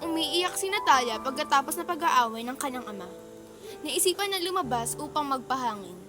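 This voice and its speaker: A woman narrates a scripted radio drama. Her voice is high, and music plays clearly beneath her reading. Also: expressive, a little fast.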